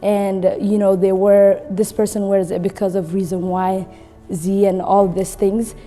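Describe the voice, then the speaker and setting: A woman's voice: medium pitch, little pitch movement, measured pace, dark tone. A woman in her twenties from Somalia, talking casually and conversationally about a book, often saying "you know.". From Somalia, later lived in Kenya. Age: 20s. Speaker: recent graduate, guest book reader. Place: indoor room with wood-panel wall, seated. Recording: reverberant room with background music.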